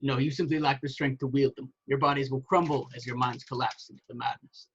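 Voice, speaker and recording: A man's voice: mid-range voice, steady tone, fairly quick, a bit bright, lo-fi sound, a little hiss or hum, natural voice. A man playing a noble, condescending warrior god with pompous, formal speech in an amateur film table read. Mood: grave, condescending.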